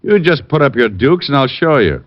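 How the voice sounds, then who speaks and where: Cocky private eye, acted by a man, breezy, wisecracking, lively pitch, dull vintage radio recording. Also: normal speed, natural voice. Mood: playful. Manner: breezy, wry. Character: cocky, wisecracking, flirtatious male private detective. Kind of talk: old-time radio detective drama acting.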